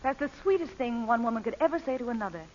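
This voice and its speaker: A woman's voice: on the high side, animated, quick pace, darkish tone, lightly acted. Actress as poised society woman, faint hiss. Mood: gracious. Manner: polite, poised. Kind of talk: 1930s radio acting. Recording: dull, noisy old radio recording.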